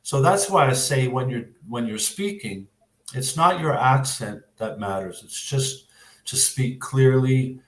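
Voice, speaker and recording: A man with a Canadian accent explaining exam advice as an English teacher, in a measured way with frequent hesitant pauses. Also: medium pitch, expressive. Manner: measured, hesitant, pausing often. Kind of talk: explanatory interview answers. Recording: roomy, echoing sound.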